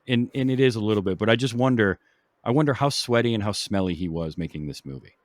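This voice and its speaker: A man's voice: fairly low pitch, expressive, fast talker, no echo, clean studio sound. A man hosting a podcast, chatting casually about films. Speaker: podcast host. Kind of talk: casual film discussion.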